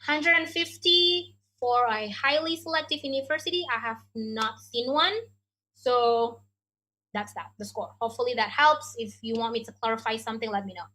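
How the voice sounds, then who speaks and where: A woman's voice: fairly high pitch, normal speed, bright, forceful tone, poor audio. A woman from Indonesia with an Indonesian accent, an education adviser answering questions in an online Q&A over a video call, chatty and explanatory with lots of "you know" and "like".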